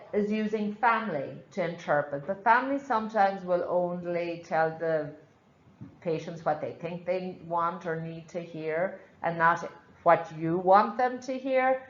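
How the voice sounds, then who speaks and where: A woman's voice: lowish voice, unhurried, reverberant, dull audio. A woman with an Irish accent teaching a live nursing class, frank and chatty, explaining with examples. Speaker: nursing instructor.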